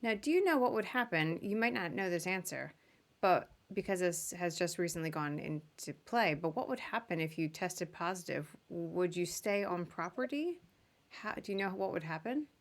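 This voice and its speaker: Woman, lowish pitch, podcast interviewer, casual, chatty, conversational. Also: expressive, normal speed. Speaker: podcast host interviewing travel professionals.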